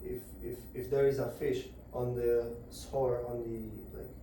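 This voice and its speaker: A man gives a devotional lecture in a very echoey room, his voice heard from well away from the microphone. His tone is flat and even, with a soft, dark timbre. He speaks haltingly, often restarting as he searches for words. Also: mid-range voice, distant mic, a little hiss or hum. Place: indoor gathering room.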